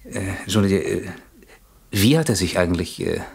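An actor playing an evasive, defensive gentleman under police questioning in a German radio crime play, formal and hesitant with a husky voice. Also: low voice, lively intonation, moderate pace, exaggerated voice. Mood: uneasy.

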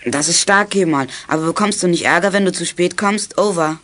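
A young male performer playing a confident, husky-voiced boy who leads young detectives in a children's audio drama. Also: sits high, a little fast, bright, forceful tone. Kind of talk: German children's radio play acting.

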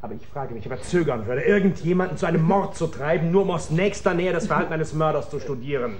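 An actor playing a bitter, lovesick failed writer, coarse, taunting and accusing, in a radio drama. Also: male voice, on the high side, animated, quick pace, lightly acted. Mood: sardonic.

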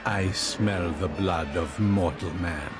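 A man in a radio drama intoning a giant's rhyme with slow, ominous menace. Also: low-pitched, music in the background. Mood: menacing.

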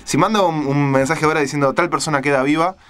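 A man's voice: on the high side, fast talker, fairly bright tone, clean tone. A man with a Rioplatense Spanish accent hosting a radio show in casual, unscripted talk. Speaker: radio host.

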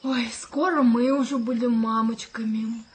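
A woman's voice: a little high, steady tone, a little slow, slight background noise, lightly acted. An actress playing a breezy, dreamy young expectant mother in a radio play, with lilting, sighing exclamations. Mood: breezy, tired. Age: young adult.

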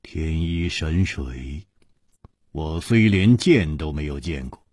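A man voicing a composed, rueful young hero in an audiobook story, measured and sighing. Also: extremely low pitch, animated, darkish tone, dry recording, clean studio sound, voice pushed down, exaggerated voice. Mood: troubled, rueful.

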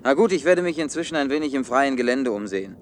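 A male geologist with a middle-pitched voice, played by an actor in a science-fiction radio play. He sounds practical and sure of himself, matter-of-fact with a teasing edge. The recording carries faint rumbling noise. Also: lively intonation, fast talker, close to an everyday voice. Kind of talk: German science-fiction radio drama acting.

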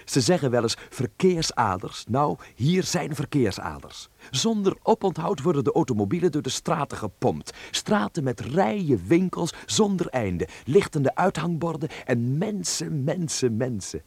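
An actor playing a wide-eyed twenty-one-year-old man, reading his letter home in an expressive, wry and affectionate way for a radio drama. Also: on the high side, measured pace, creaky, slightly rough, no room sound, full character voice. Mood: excited. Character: excited, wide-eyed young Dutch son abroad, twenty-one.

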